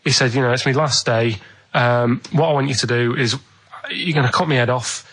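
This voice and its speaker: A man, a radio show regular, telling anecdotes casually in an earnest, halting, rambling and vague way. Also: mid-range voice, fairly even intonation, fairly bright tone, breathy edge, low-quality recording.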